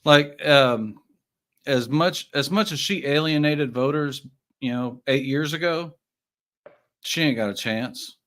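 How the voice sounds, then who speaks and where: A man's voice: medium pitch, takes it slowly, a bit bright. A man giving his opinions as a guest in a casual chat, with folksy, slangy phrasing. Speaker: guest caller.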